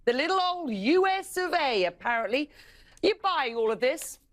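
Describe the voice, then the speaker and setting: A woman news anchor introducing a guest and asking brisk questions. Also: sits high, sing-song. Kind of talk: news interview.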